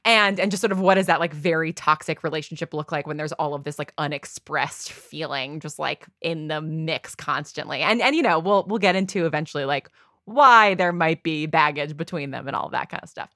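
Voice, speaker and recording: A woman's voice: low voice, fairly quick, fairly bright tone, no room sound, clean studio sound. A woman being interviewed casually, thinking aloud in a meandering way with lots of filler words. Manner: thinks aloud, meandering, frequent "like" and "you know". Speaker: interview guest, audio drama writer.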